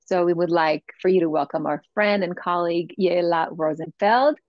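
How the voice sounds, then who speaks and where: Lower-voiced host with a muffled sound. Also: female voice, normal speed, dry recording. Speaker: podcast host. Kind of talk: reading a guest introduction.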